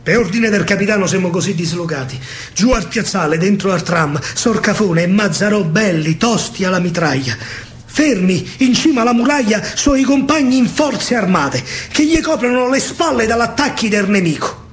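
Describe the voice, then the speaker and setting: A man's voice: sits high, lively intonation, moderate pace. A man with a Roman accent performing a dramatic verse monologue as a storyteller, declamatory and emphatic. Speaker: actor and storyteller. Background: music.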